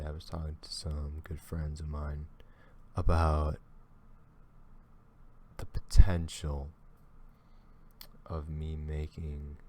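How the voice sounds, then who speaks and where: Deep-voiced man drawling slow commentary. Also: dark tone, a little hiss or hum. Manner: rambling, trailing off and restarting. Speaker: YouTube commentator reacting to a video. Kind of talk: casual reaction commentary.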